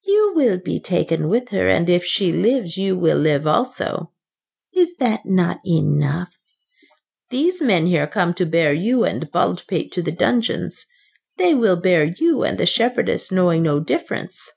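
A woman voicing a desperate, secretive servant woman in a storybook reading, speaking quietly and insistently. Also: on the low side, sing-song, a little slow, creaky, slightly rough, heavily acted. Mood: urging.

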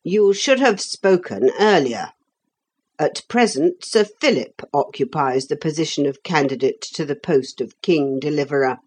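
A woman's voice: sits low, expressive, measured pace, a little mellow, slightly rough, full character voice. A woman voicing a solemn, formal male storybook leader with cold, pompous dignity. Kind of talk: storybook character voice.

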